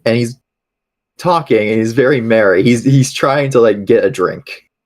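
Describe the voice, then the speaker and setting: A man casually describing his game character as a player, informal and halting. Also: medium pitch.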